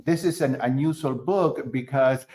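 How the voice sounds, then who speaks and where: A middle-aged man from Argentina speaks as an interview guest at a medium pitch. He talks in a halting way, full of fillers, restarts and pauses. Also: darkish tone. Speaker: guest, concert pianist. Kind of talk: conversational interview.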